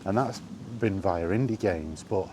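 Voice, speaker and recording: A middle-aged man in a casual, rambling vlog chat, speaking conversationally with halting pauses while out walking. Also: low voice, warm tone. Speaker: gaming vlogger. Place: outdoors, walking. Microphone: microphone with wind guard. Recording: windy outdoor recording, background noise.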